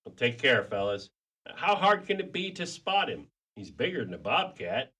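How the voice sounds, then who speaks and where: A man's voice: medium pitch, big pitch swings, moderate pace, bright, forceful tone, husky, heavily acted. A man playing a brawny, easygoing pet detective in a radio play, a folksy and rambling talker. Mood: puzzled, folksy. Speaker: actor in a community radio play.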